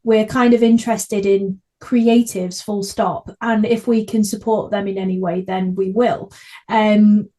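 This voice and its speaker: A woman's voice: mid-range voice, steady tone, a little slow, mellow. A young woman hosting a casual podcast interview in a chatty, supportive way. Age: young adult. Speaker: podcast host, theatre creative.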